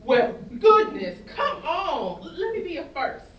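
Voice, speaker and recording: A woman's voice: very high voice, big pitch swings, measured pace, gravelly, heavily acted. A woman acting a bold, teasing, tough gun-toting woman in a staged play reading. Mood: coaxing, commanding. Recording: roomy, distant stage recording with faint noise.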